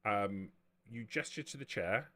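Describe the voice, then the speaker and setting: A man with a very deep voice acts as game master for a tabletop roleplay session. He explains and narrates informally, in the manner of someone talking across a table. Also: animated, unhurried, bright, forceful tone.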